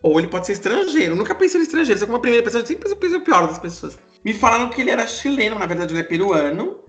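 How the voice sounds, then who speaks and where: Brazilian male podcast host, very lively delivery, casual storyteller, roomy sound with faint music. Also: high-pitched, racing. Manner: expressive, animated.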